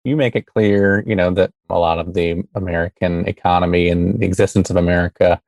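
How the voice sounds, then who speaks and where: A man interviewing a poet, asking thoughtful, somewhat halting questions. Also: low-pitched, steady tone, darkish tone, no room sound.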